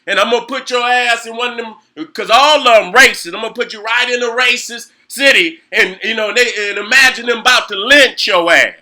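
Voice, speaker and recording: A middle-aged man with a strikingly high voice and a sharp, piercing edge rants to his viewers. His talk is heated and profane, loaded with rhetorical questions and the same catchphrase again and again. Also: a little fast, hardly any pauses. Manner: fiery, profane, rhetorical, repeats "you know what I'm saying". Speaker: host.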